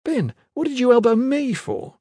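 A man's voice: very high-pitched, wildly swooping pitch, moderate pace, mellow, slightly rough, dry recording, clean studio sound, pitched above the performer's own voice, heavily acted. A man voicing a blunt, indignant, comic young squad member in an audiobook story, plain-spoken and protesting.

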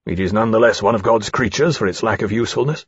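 A principled, courteous officer voiced by a man speaks in a low, measured voice. His tone is formal and firm, fit for a humane period storybook hero. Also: breathy edge, dry recording, highs cut off. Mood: resolute.

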